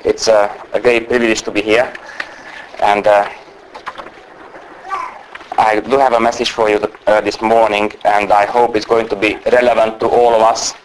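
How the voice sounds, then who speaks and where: A man giving a sermon as a guest preacher. He speaks English with a strong non-native accent, talks fast by nature and tries to slow down, and sounds under the weather with a cold. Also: lowish voice, little pitch movement, a little hiss or hum, poor audio. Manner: naturally fast talker trying to speak slowly. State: unwell with a cold or flu.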